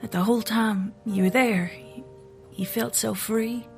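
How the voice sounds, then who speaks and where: A skeptical, tired female FBI agent in an audio drama. She talks slowly and haltingly in a fairly high voice, with feeling close to the surface. Faint music sits behind her. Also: steady tone. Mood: tender, wistful.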